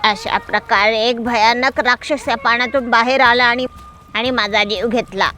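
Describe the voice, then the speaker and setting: Sorrowful donkey spirit for a children's fable, bright, plaintive, fairly high, played by a woman. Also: steady tone, normal speed, slight background noise. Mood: mournful. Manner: mournful, plaintive. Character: sorrowful ghost of a male donkey, gentle warner. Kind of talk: animal storybook character voice.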